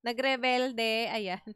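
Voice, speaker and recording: A woman's voice: a little high, fairly bright tone, clean tone, no echo, clean studio sound. A woman with a Filipino accent chatting casually as a live game streamer, switching between languages. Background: game music.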